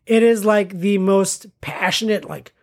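A woman's voice: mid-range voice, unhurried, a little mellow. A woman co-hosting a casual film discussion, chatty and often searching for words.

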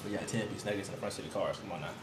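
A man's voice: low-pitched, fairly even intonation, racing. A man of nineteen co-hosting a podcast, chatting loosely in slang and laughing often. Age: young adult. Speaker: podcast co-host. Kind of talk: casual chat. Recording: echoey room with background noise.

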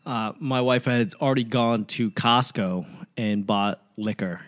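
A man's voice: medium pitch, measured pace. Profane joking male podcast host. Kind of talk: casual podcast chat.

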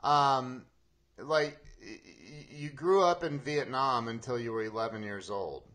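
A man with a medium-pitched voice hosts a casual interview show. He talks slowly and informally, often halting and repeating phrases as he goes. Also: a bit bright. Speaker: podcast host interviewing a guest.

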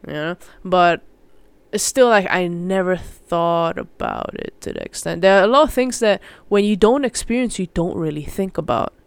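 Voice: medium pitch, wildly swooping pitch, normal speed, creaky, clean tone, no room sound. A young adult from Malaysia chatting casually and solo as a podcast host, in a rambling, informal way that often breaks off and restarts with mild slang.

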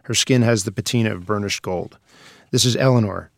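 A man's voice: on the low side, brisk, creaky, no echo, studio quality. A man reading scripted stage directions as the narrator of a screenplay table read.

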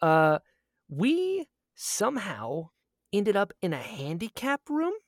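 A man's voice: high-pitched, huge pitch swings, slow pace, no echo. A man chats casually on a podcast in a voice that swings up and down a lot. He is joking and self-deprecating, and laughs readily. The recording is dry, with hardly any room sound. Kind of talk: casual chatty banter.

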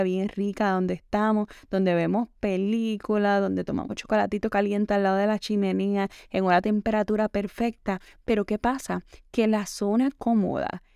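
A woman's voice: mid-range voice, creaky, a little mellow, no echo, studio quality. A young adult woman hosting a podcast, talking through a reflective self-help monologue in a conversational way, leaning on the filler "entonces" and dropping in English words. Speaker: podcast host.

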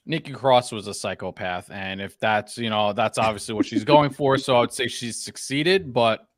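A man in casual sports podcast chat, talking in a halting way and often repeating his words. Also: medium pitch, no room sound, clean studio sound. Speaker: wrestling podcast panelist.

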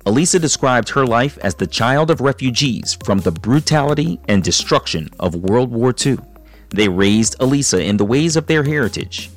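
A man hosting an interview show, narrating scripted introductions in a measured, calm way and interviewing his guest. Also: medium pitch. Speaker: podcast host.

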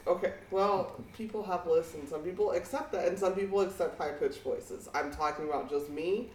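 A woman co-hosting a casual podcast, speaking bluntly and emphatically with plenty of swearing, in a fairly deep voice for a woman. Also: moderate pace, a little mellow, roomy sound, far from the mic, a little hiss or hum, low-quality recording. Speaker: podcast co-host.

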